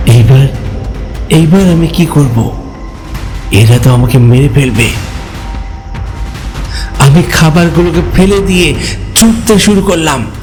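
A man's voice: mid-range voice, a little mellow, lightly acted. A man playing a frightened narrator who recounts a ghost story in a dramatic, suspenseful way. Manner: suspenseful, with anxious repetition. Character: frightened male hotel customer recounting his ghost encounter. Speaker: first-person narrator.

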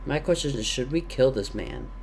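A man's voice: mid-range voice, big pitch swings, moderate pace, vocal fry, a little hiss or hum. A young man with a sore throat and sniffles, chatting casually and thinking aloud with lots of fillers while he plays a game, talking into a headset at home. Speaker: gaming YouTuber. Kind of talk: casual let's-play commentary. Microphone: gaming headset.

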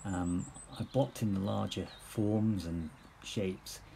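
A man in his fifties explaining his painting outdoors in a sunny churchyard, relaxed and explanatory, with many fillers. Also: deep voice, slow, slight background noise. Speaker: painting instructor. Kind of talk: plein air painting demonstration. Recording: outdoor recording with clear birdsong. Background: birdsong and outdoor ambience.

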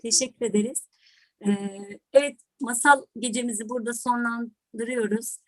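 Woman host, kinda high voice, polite event talk, dry close sound. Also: steady tone, normal speed, no echo. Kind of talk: live event hosting, polite closing remarks.

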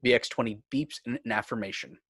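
A man narrating stage directions for a scripted fan table read in a measured, clipped way. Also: medium pitch, steady tone, a bit bright, husky, dry recording, studio quality.

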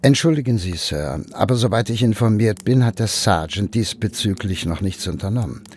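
Deferential, low-voiced male butler. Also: expressive, normal speed, darkish tone, breathy edge. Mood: hesitant. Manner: polite, deferential, hesitant. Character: deferential, loyal male butler, hesitant and proper. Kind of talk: German audio drama acting.

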